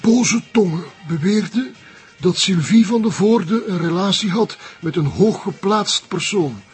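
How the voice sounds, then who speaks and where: A man playing a cautious old retired journalist in a TV crime drama, with a Flemish accent and a measured, wry way of talking. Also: high voice, darkish tone, slightly rough, soft background music, heavily acted. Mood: discreet, gossiping. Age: older.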